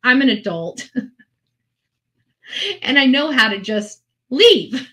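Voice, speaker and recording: Bright, high-voiced woman chatting casually. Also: lively intonation, takes it slowly. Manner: earnest and emphatic, repeating phrases for effect. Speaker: online video channel host. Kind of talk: casual rambling chat to followers.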